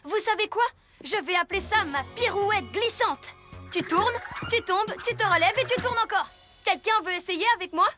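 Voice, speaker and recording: A woman's voice: very high-pitched, moderate pace, music in the background. A woman playing an eager, impulsive six-year-old boy superhero in a cartoon, with an emphatic delivery. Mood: boyish, earnest. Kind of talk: animated cartoon dub acting.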